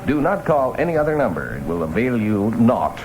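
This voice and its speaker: Playful, animated 1960s American radio deejay. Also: male voice, mid-range voice, brisk, poor audio. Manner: playful, hip patter. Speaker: radio disc jockey. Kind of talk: 1960s top-40 radio hosting and live ad reads. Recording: 1960 radio aircheck with music. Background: music.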